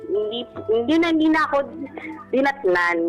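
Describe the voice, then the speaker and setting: A woman's voice: fairly high pitch, very animated, moderate pace, lo-fi sound. A woman in her thirties telling a personal story conversationally and respectfully as a caller on a radio show. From Philippines. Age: 30s. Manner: respectful, frequent polite particles. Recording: radio broadcast with music bed. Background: music.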